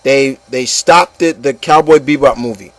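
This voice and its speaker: A man's voice: medium pitch, moderate pace, dry recording. A man hosting a casual opinion show, speaking bluntly and with exasperation, often stammering and repeating himself and swearing. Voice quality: stammering, repeats words and phrases. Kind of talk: casual opinion rant with a co-host.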